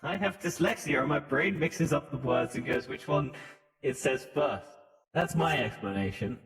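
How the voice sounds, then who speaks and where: A man's voice: on the high side, animated, normal speed, fairly bright tone. Echoey British man with American leanings, voice modulated. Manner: sweary, joking. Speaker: podcast co-host. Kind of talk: casual comic banter. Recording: echoey, long reverb on voice.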